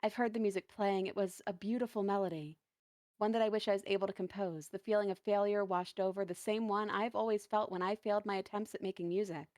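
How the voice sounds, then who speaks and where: A woman reading a first-person dramatic story as a lonely, self-doubting dancer lost in a forest, earnest and halting. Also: mid-range voice, fairly even intonation, a little mellow, no echo, clean studio sound, close to an everyday voice. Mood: wistful.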